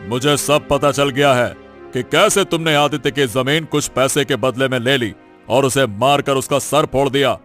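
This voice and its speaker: A man's voice: mid-range voice, moderate pace, fairly bright tone, faint music behind, lightly acted. A man playing an authoritative village headman in a cartoon moral story. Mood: stern, commanding. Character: stern authoritative village headman passing judgment.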